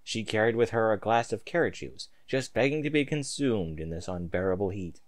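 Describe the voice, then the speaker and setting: A man's voice: fairly low pitch, lively intonation, brisk. A man reading a story aloud in a theatrical, emphatic amateur narrating style.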